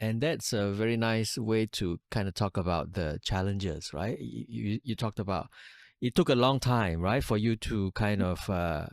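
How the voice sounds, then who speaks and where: Low-voiced, hesitant male interviewer. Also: dry recording, studio quality. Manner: soft backchannel murmurs, hesitant questions. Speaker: podcast host.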